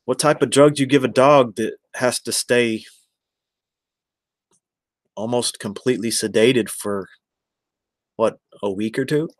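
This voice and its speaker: A man's voice: mid-range voice, unhurried, long pauses, no room sound. An American man in his forties chatting casually on a livestream, rambling and addressing viewers one by one. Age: 40s. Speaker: live streamer.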